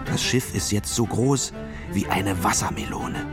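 A man's voice: on the low side, a little slow, over loud music. A man narrating a scripted children's audio story.

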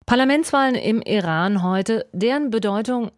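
A woman presenting a radio news program, reading a scripted introduction in a crisp, even newsreader manner. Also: on the high side, moderate pace, no echo, studio quality.

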